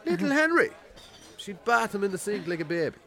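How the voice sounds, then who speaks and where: Jamesy, animated Irish country farmer. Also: male voice, sits high, a little fast, a faint crowd, heavily acted. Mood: easygoing, pleased. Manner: wry, colloquial storytelling. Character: Jamesy, rural bachelor farmer, self-deprecating storyteller on a date. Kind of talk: naturalistic radio drama acting.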